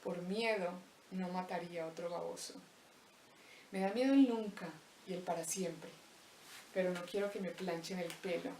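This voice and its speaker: A young woman from Colombia with a Paisa accent, slowly reciting a reflective spoken-word poem with deliberate pauses. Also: lowish voice, steady tone, a little mellow, a little hiss or hum. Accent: Paisa Colombian Spanish. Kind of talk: spoken-word poetry monologue. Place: empty abandoned house. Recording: roomy echo, voice distant from mic.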